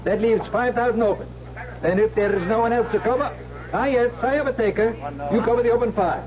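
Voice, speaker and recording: An actor playing a brisk, formal croupier announcing bets at a dice table in an old radio drama. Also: male voice, very high-pitched, fairly even intonation. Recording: dull old radio broadcast.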